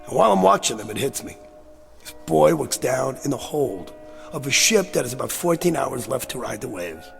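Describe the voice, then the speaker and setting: An actor playing a brash, slangy, fast-talking gambler with a gravelly voice in a radio drama. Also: male voice, mid-range voice, very animated, faint music behind. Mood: earnest, reflective. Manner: slangy, wisecracking, cajoling. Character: brash, fast-talking gambler, agitated man out of his time. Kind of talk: radio drama acting and first-person narration.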